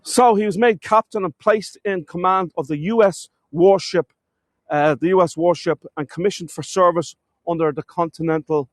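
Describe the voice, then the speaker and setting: A man's voice: high-pitched, fairly even intonation, moderate pace, no echo. A man with a cold, sniffling as he gives a chatty live-streamed walking tour outdoors, talking to viewers and calling them folks. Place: outdoors in a town.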